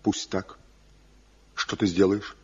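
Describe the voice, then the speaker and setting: A man's voice: low voice, level tone, slow, breathy edge, full character voice. An actor playing a defiant, embittered craftsman and rival in a Soviet radio play, with a challenging, theatrical delivery. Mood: bitter, cold. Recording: dull, muffled 1970 radio play recording.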